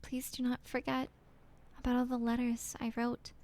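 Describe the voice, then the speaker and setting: A woman voicing a heartbroken young woman reading out her farewell letter in a halting, apologetic half-whisper. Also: on the high side, monotone, darkish tone, voice pushed up, lightly acted.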